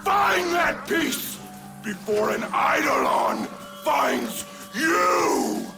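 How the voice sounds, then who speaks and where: A man voicing a deranged, grotesque game villain warlord, gravelly, theatrical and gloating, bellowing his threats. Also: expressive, very slow, heavily acted. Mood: menacing relish. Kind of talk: video game villain acting. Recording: loud music and rumble behind.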